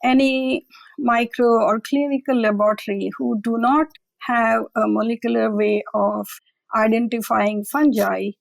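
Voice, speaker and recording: A woman's voice: a little high, fairly even intonation, measured pace, vocal fry, no echo, poor audio. A woman scientist from a public health lab, explaining in a plain-spoken, matter-of-fact way during an interview.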